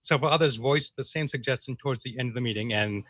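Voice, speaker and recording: A man reading out minutes as a club secretary on a video call, in a halting manner. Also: medium pitch, a bit bright, dry recording. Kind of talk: reading minutes at an online meeting.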